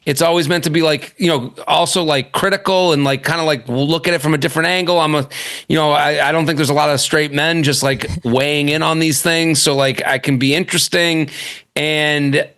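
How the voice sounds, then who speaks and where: A man's voice: on the high side, fairly even intonation, brisk, a bit bright. Chatty man in his forties. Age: 40s. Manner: chatty, rambling with many fillers. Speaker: podcast guest. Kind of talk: casual chat.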